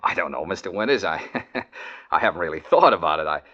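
An actor playing a wry, glib, opportunistic private problem-solver in a 1940s radio comedy-drama, on a muffled vintage recording. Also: male voice, sits low, lively intonation, fast, pushed and bright, full character voice. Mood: sly, amused.

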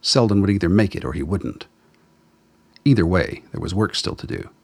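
A man's voice: low-pitched, expressive, moderate pace, vocal fry, husky, dry recording. A man reading scripted dramatic narration as the narrator of a radio play.

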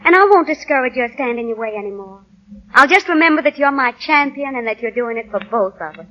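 An actress playing a patient, tactful, devoted wife in a 1940s radio comedy, gently coaxing her husband. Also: high voice, lively intonation, quick pace. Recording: muffled vintage 1940 radio recording.

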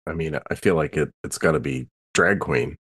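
A man in casual table talk as a player in a roleplaying game, halting as he thinks aloud. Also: extremely low pitch, a bit bright.

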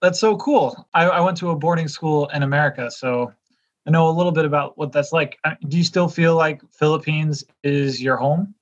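A man's voice: a little high, moderate pace, dull audio. A young man co-hosting a podcast, with a friendly, upbeat delivery. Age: young adult. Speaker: podcast co-host.

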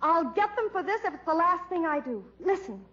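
A woman's voice: very high-pitched, big pitch swings, brisk, pushed and bright, full character voice. A woman playing a pushy, feisty young would-be reporter with bossy screwball retorts in a radio comedy. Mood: determined. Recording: muffled, noisy live stage recording.

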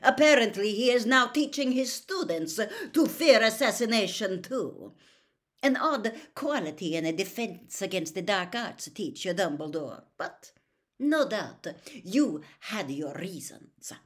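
A woman's voice: medium pitch, animated, normal speed, pushed and bright, voice pushed up. A woman voicing a cold, disdainful male headmaster with haughty, mocking delivery in a storybook reading. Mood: cold mockery.

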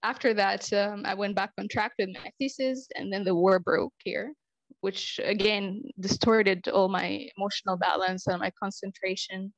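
A young woman from Israel, a podcast guest who explains things in a measured way. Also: medium pitch, steady tone, highs cut off. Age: young adult. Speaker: interview guest. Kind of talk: conversational interview.

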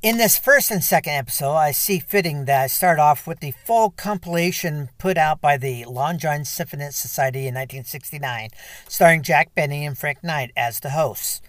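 A man's voice: fairly high pitch, normal speed, no echo, clean studio sound. A man speaking as a radio show host, reading a scripted introduction to his program.

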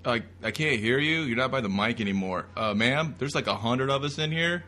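A man's voice: medium pitch, lively intonation, a little fast, bright, forceful tone, a little hiss or hum. A man cracking crude, irreverent jokes as he riffs over a comic film, sometimes slipping into silly voices. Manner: breaks into silly put-on voices and noises. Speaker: comedy riffer. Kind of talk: joking riff commentary over a comic film.

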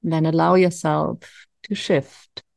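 Slow, mellow woman guiding meditation. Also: deep voice, vocal fry, dry recording, clean studio sound. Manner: slow, calm, soothing instruction with long pauses. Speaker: meditation coach. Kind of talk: guided meditation.

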